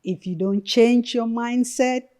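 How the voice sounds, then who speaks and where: A woman's voice: on the high side, slow pace, dark tone. A middle-aged woman from Cameroon, a nurse practitioner speaking earnestly as a podcast guest, with lots of "you know" and "um". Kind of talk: podcast interview.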